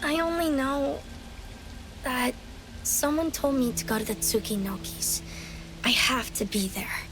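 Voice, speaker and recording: A woman's voice: high voice, animated, a little slow, background noise. A woman voicing a lost, timid, forgetful little child in an anime-style game, with hesitant, simple phrasing. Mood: lost, uncertain.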